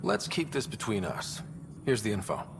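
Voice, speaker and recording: A man's voice: on the low side, huge pitch swings, moderate pace, background noise, full character voice. A man playing a young space explorer leader in a video game, talking in a casual, direct way. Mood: discreet, casual. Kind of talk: video game character dialogue. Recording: reverberant hall, clear background ambience.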